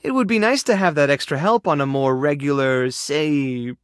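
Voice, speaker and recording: A male actor in a dating video game playing an earnest, tender, awkward keeper of an animal rescue, sincere and prone to halting rambles. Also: on the high side, smooth, no echo, clean studio sound, lightly acted. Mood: earnest, hopeful. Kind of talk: video game character voice acting, dating simulator.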